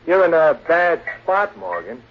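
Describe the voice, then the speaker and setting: An actor playing a sly, greedy private detective, cagey and drawling, in a 1940s radio drama. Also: male voice, high voice, steady tone, measured pace, creaky, full character voice. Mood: smug, taunting. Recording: muffled, noisy 1940s radio recording.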